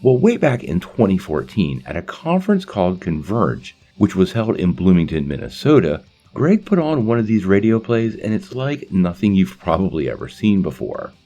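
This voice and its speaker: A man's voice: deep voice, big pitch swings, moderate pace, hardly any pauses, warm tone, highs cut off. A man hosting a video channel, giving a scripted introduction in an explanatory presenter's delivery. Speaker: channel host. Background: faint music.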